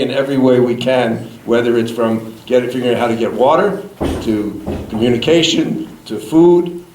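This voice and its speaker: A man's voice: medium pitch, a little mellow. A man on a community panel, speaking in an earnest, reflective way. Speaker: magazine panelist. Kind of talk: panel discussion. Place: public event hall. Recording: echoey room, faint noise.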